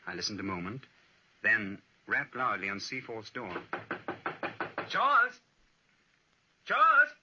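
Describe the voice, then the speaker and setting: An actor playing a hearty, excitable upper-class gentleman in an old-time radio ghost drama, with theatrical, emphatic delivery. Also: lowish voice, slow, long pauses, background noise, exaggerated voice. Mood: concerned, hearty. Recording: muffled old radio recording.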